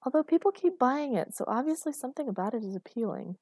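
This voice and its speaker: A woman's voice: sits high, expressive, fast talker, darkish tone, husky, no echo, clean studio sound, voice pushed up. A woman voicing an irreverent, teasing woman lodger with a flippant, amused manner in audiobook dialogue.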